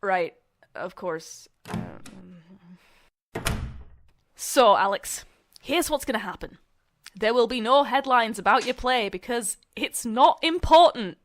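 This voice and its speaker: Actress as young uni student in comedy audio drama, highish voice, really animated intonation. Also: female voice, takes it slowly, long pauses. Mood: condescending. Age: young adult. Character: young female student journalists and theatre director sparring.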